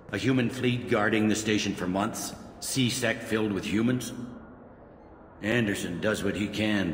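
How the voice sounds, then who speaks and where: Man playing a deep-voiced, seasoned police officer. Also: reverberant, big-room sound, a little hiss or hum, close to an everyday voice. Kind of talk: video game voice acting.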